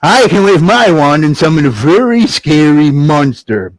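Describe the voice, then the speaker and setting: A man's voice: a little high, big pitch swings, a little slow, dry recording, poor audio. A man voicing a boastful, easily spooked wizard with a pompous manner in a children's story.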